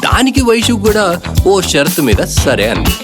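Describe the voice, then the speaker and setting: A man's voice: sits high, wildly swooping pitch, moderate pace, over loud music. A man narrating a recap of a comedy audio drama in a dramatic storytelling style.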